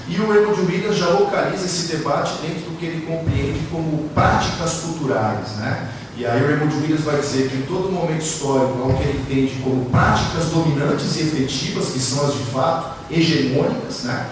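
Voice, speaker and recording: Brazilian man lecturing in a very echoey hall. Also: on the high side. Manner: discursive, frequent rhetorical tag questions. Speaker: academic speaker. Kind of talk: academic lecture at a conference panel. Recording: very echoey hall, distant mic, faint noise.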